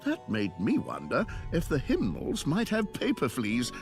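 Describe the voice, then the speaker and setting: An actor playing a mild, fussy village priest in a video game, speaking politely and hesitantly. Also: male voice, medium pitch, huge pitch swings, a little slow, music in the background. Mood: earnest, mild. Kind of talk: video game character dialogue.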